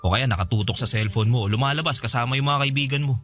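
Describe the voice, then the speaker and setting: Radio drama role: bitter young boyfriend played by a man, sarcastic and accusing, mid-range voice, music softly underneath. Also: medium pitch, quick pace, natural voice. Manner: accusing, emotional. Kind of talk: Tagalog radio drama acting. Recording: music bed behind the voice.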